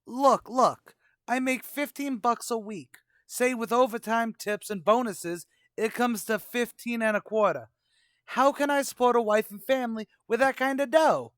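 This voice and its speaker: A man's voice: very high voice, normal speed, fairly bright tone, dry recording, studio quality. A man playing a brash, slangy, bluffing tavern manager who wisecracks in an old-time radio comedy. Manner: wisecracking, mock-grandiose.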